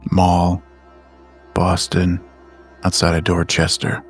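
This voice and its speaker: A man voices a hardened, weary operative in a horror roleplaying game. His voice is very low and full of gravel, husky and deadpan. He speaks in slow, clipped fragments over music. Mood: flat, guarded. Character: terse, weary, hardened male covert killer.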